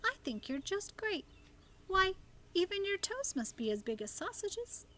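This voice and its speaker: A woman's voice: very high-pitched, sing-song, unhurried, bright, forceful tone, a little hiss or hum, pitched above the performer's own voice, exaggerated voice. A woman voicing a curious, easily horrified little girl in a storybook, questioning and exclaiming. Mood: wondering, concerned.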